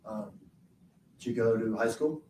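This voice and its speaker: A man questioning a witness in a courtroom, slow and deliberate. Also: lowish voice, mellow, a little hiss or hum. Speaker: prosecutor. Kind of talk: courtroom direct examination. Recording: roomy courtroom audio from far off.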